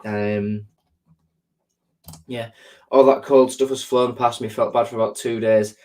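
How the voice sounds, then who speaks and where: A man's voice: mid-range voice, fairly even intonation, a little slow, darkish tone. Bunged-up man chatting to viewers. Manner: chatty, rambling, lots of ums and repeated words. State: sore throat and blocked nose. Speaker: live stream host. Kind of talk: casual live chat answering viewer comments.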